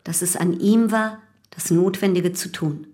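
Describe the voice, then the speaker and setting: An actress playing a calm, unashamed young widow in love, in a German radio drama. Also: female voice, on the low side, measured pace, close to an everyday voice. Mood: composed, matter-of-fact. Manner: composed, unashamed.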